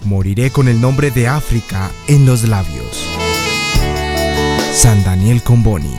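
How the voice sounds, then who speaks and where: A man's voice: lowish voice, lively intonation, slow. A man reads a quotation in a solemn, declaiming tone with firm emphasis. Guitar music plays behind him, and he sounds set back from the mic.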